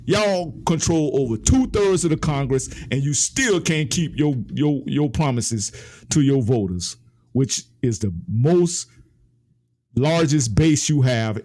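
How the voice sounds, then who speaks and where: A man's voice: mid-range voice, animated, unhurried, dark tone. An American man giving an opinionated political commentary, speaking emphatically and repeating phrases for effect. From United States.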